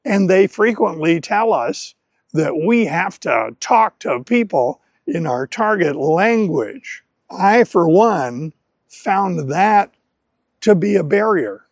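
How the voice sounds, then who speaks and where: A man who is a native English speaker, presenting an educational talk on language learning in deliberate, carefully phrased short segments. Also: high voice, expressive, no echo. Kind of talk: scripted educational talk to camera.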